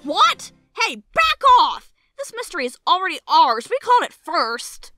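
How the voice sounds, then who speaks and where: A woman's voice: very high voice, measured pace, exaggerated voice. A woman voicing an earnest, bookish young boy adventurer in a cartoon comic dub, with music behind. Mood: excited. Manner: bookish, excitable.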